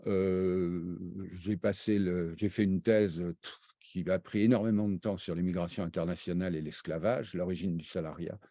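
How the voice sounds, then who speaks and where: Older male interview guest, deep mellow voice, halting and reflective, dry close sound. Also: steady tone, dry recording. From raised half in Brazil, half in France. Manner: halting, many fillers and restarts. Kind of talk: hesitant spoken recollection.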